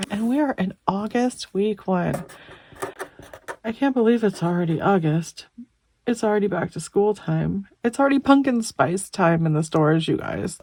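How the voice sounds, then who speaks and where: A woman's voice: mid-range voice, a little mellow, no room sound. A woman in her thirties chatting casually while doing her weekly budget, tired and stuffy, rambling a bit as she works. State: stuffy and exhausted. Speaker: budgeting vlogger.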